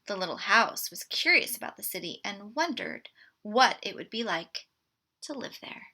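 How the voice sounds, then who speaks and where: A woman reading a picture book aloud to children in an expressive, deliberate way. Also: mid-range voice, a little hiss or hum. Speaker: storybook read-aloud presenter.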